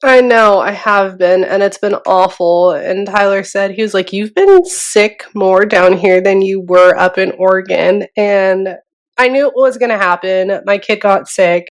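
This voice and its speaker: A woman in her thirties co-hosting a podcast, nasal from a cold, relaxed and joking in casual chat. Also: mid-range voice, measured pace. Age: 30s. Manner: laughs easily, relaxed and joking. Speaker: podcast co-host.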